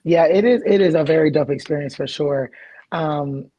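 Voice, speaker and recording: Male interview host, fairly high pitch, dry recording, enthusiastic. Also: moderate pace, dark tone, no room sound, lo-fi sound. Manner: reads viewer comments aloud, enthusiastic reactions. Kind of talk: casual interview.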